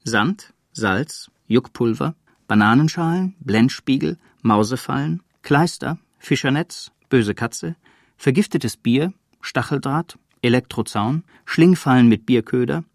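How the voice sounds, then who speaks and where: Creaky, dry-sounding list announcer. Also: male voice, mid-range voice, lively intonation, unhurried, no echo. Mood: matter-of-fact. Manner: clipped list reading. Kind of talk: scripted radio play.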